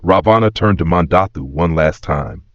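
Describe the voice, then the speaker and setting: Deep-voiced solemn male storyteller in echo. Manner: solemn, measured, reverent. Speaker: narrator. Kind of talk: scripted dramatic audio storytelling. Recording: roomy echo, slightly dull sound.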